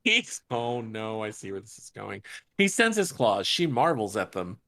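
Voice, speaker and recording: A man's voice: fairly low pitch, big pitch swings, moderate pace, fairly bright tone. An American man from upstate New York chatting casually in a group, with wry joking asides. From upstate New York, United States. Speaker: podcast panelist reading stage directions.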